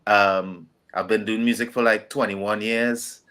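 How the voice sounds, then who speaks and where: A man's voice: fairly low pitch, fairly even intonation, unhurried, sharp tone. A middle-aged man with a Trinidadian accent, talking casually as a musician guest in an interview. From Trinidad and Tobago.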